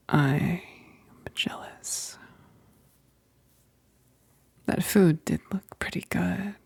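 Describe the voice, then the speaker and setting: Slow, whispery vampire best friend, acted by a woman. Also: lowish voice, dry recording, heavily acted. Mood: teasing, hungry. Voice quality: breathy, whispery, creaky. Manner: slow, hesitant, halting, tender. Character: affectionate, self-conscious female vampire best friend, hungry for blood. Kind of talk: ASMR roleplay, intimate whispering. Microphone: close, dry microphone.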